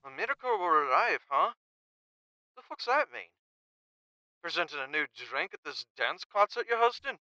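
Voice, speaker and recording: An actor playing a brash, foul-mouthed champion fighter in an audio drama, loud, cocky and blunt. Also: male voice, fairly high pitch, sing-song, normal speed, pauses often, clear, resonant tone, dry recording, heavily acted, rough recording. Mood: amused, brash.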